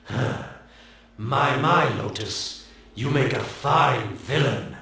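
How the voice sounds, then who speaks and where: A smug, creaky mad scientist. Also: male voice, medium pitch, slow, pushed and bright, very echoey, recorded from a distance, full character voice. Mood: sardonic. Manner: smug, sarcastic, drawling taunts. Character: sly, mocking male scientist, gleeful schemer.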